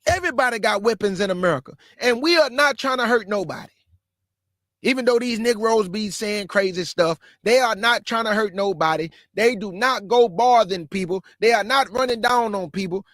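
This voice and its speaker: A man's voice: high voice, moderate pace, fairly bright tone, no room sound, studio quality. A man hosting his own show, reacting to clips with emphatic, slangy scolding. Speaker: show host. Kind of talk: reaction commentary.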